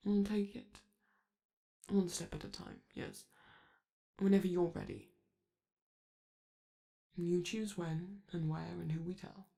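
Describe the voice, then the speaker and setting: A flamboyant male robot celebrity, doting and gently teasing, as a man acts him out. The voice is dark and mellow with a gravelly edge, spoken close and soft like a romantic ASMR audio drama. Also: sits high, animated, slow, pauses often, full character voice. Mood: tender.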